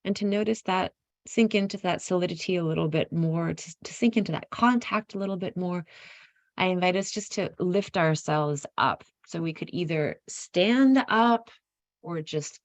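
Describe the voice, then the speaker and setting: A woman leading a guided meditation, giving gentle instructions in an unhurried, softly inviting way with pauses mid-phrase. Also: on the low side, dry recording.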